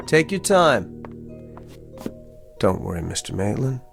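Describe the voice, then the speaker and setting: An actor playing a brash self-made young suitor in a TV drama, boastful and needling under a false courtesy. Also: male voice, mid-range voice, sing-song, takes it slowly, gravelly, faint music behind, heavily acted. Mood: slick, self-satisfied. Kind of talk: dramatic acting in a TV anthology drama.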